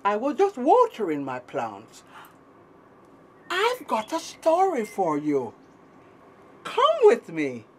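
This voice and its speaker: A woman storyteller telling a tale to children in an animated, dramatic way, building through repetition and pauses. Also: fairly high pitch, breathy edge.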